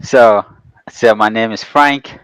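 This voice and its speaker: A man from Ghana who grew up partly in New York, explaining his work as a guest in a casual, halting way. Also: fairly low pitch, fairly bright tone, clean tone, no room sound, poor audio. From Ghana, later the Bronx, New York. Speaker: guest, real estate developer. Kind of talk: casual interview talk.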